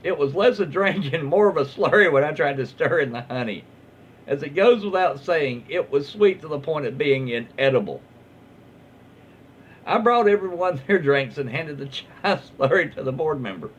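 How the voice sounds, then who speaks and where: A man with a Southern US accent and a husky voice reading online posts aloud in a casual, comedic, easily amused way. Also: on the high side, animated, moderate pace.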